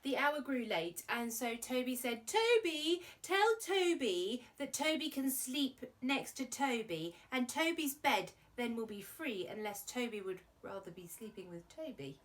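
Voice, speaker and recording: A woman's voice: a little high, lively intonation, measured pace, hardly any pauses. A woman presenting a children's arts show, chatty and playful as she talks to young viewers and reads silly verse. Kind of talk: children's presenting and poem reading.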